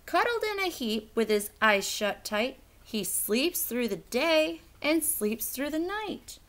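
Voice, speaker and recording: A woman reading a children's picture book aloud in an expressive, theatrical, emphatic storytelling style. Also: sits high, takes it slowly.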